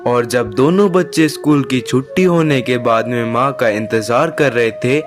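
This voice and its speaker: A man's voice: mid-range voice, measured pace, music in the background. A man reading scripted narration as the storyteller of a children's moral story.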